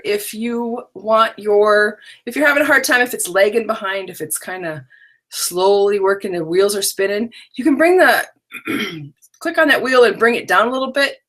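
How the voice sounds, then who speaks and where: A woman's voice: mid-range voice, fairly bright tone. A middle-aged woman with a scratchy, drowsy voice, casually chatting as she hosts a live online crafting workshop from her home stamp room. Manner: chatty, rambling, talks to unseen viewers. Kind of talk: casual live-stream chat.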